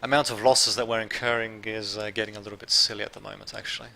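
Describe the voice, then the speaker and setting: A man's voice: fairly low pitch, flat delivery, quick pace, gravelly, bright, forceful tone, a little hiss or hum. A man from Serbia, raised in Australia, with a light Australian accent, giving rambling casual commentary over a strategy game and chatting to his viewers. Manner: rambling, self-correcting, chatty asides to viewers. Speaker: gameplay commentator.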